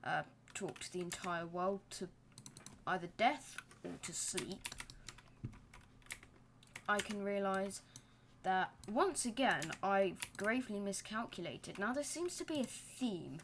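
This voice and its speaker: A young woman talks slowly through a building game, rambling into self-deprecating jokes. Her pitch sits mid-range, and faint hiss with keyboard typing hums behind her desk mic. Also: lively intonation. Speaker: gaming channel host. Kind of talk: casual gameplay commentary.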